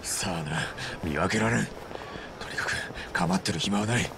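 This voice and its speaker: A man's voice: sits low, normal speed, vocal fry, noisy background. An actor playing a desperate, commanding crime boss and father in a dramatic video game. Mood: grim, hushed.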